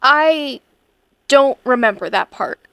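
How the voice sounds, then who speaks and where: A woman's voice: high-pitched, expressive, takes it slowly, gravelly, fairly bright tone, clean tone, no echo, clean studio sound, exaggerated voice. A woman voicing a spirited, eager and defiant teenage schoolgirl in a comic dub, earnest and emotive. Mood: uneasy. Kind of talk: earnest fandub acting.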